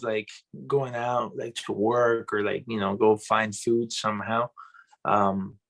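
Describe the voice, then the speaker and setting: A young adult man from Colombia, a pastor and music minister, telling stories in a loose, animated way on an interview show. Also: mid-range voice, vocal fry, rough recording. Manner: loose and animated, says "man" and "bro" a lot. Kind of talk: casual interview storytelling.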